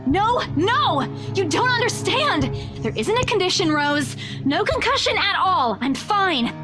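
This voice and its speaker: An anxious young agent. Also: female voice, extremely high pitch, sing-song, measured pace, exaggerated voice. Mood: urgent. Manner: anxious, pleading. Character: frightened, insistent young real estate agent. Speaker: character in a visual novel. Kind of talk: game voice acting. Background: faint game music.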